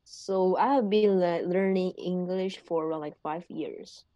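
Slow, soft-toned woman with Mandarin accent. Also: fairly low pitch, no echo, lo-fi sound. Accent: Taiwanese Mandarin. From Taiwan. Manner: hesitant, with fillers like "like" and "you know". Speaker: guest English learner.

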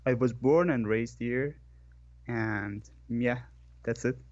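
A man from Iran speaks English, answering slowly and hesitantly, with plenty of fillers as he searches for words. His medium-pitched voice sounds dry and a little dull, as if from a modest home mic. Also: darkish tone, no echo. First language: Persian. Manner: hesitant, thinking aloud with fillers. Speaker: podcast guest, English learner. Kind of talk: interview answers.

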